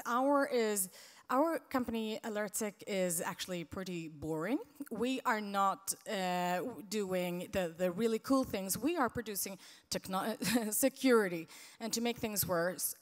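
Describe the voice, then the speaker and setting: A woman's voice: mid-range voice, measured pace. Brief: woman panelist, dry humor, echoey hall. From Sweden. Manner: wry, self-deprecating. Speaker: panelist, company president. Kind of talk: startup pitch on a panel.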